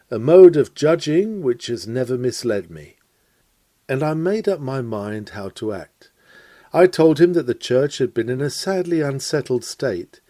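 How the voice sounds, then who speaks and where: Man, mellow tone, medium pitch, scripted sermon reading, measured emphatic phrasing, close dry sound. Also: no room sound. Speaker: reader of a recorded sermon lecture. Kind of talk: scripted read-aloud of a Victorian lecture.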